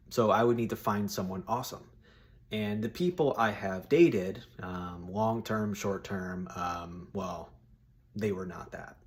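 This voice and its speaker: A man in his thirties with a fairly low voice talks straight to his viewers. He is informal and blunt, addressing them like buddies in a loose, casual chat. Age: 30s. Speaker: solo video host giving personal opinions.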